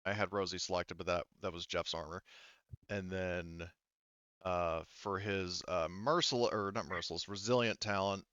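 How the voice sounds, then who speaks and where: A man running an online tabletop roleplaying game as game master, talking haltingly as he thinks aloud through the rules. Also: low-pitched, steady tone, a bit bright, no echo, muffled recording.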